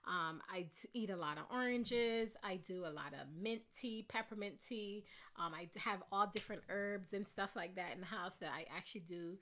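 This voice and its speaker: A congested woman talks through her solo podcast in a loose, candid ramble, full of ums and side turns. Her voice is bright and forward even with the stuffy nose. She sounds like she's just chatting to her listeners. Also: mid-range voice, moderate pace. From Caribbean. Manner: rambling, candid, with frequent fillers. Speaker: podcast host. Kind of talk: casual solo podcast chat.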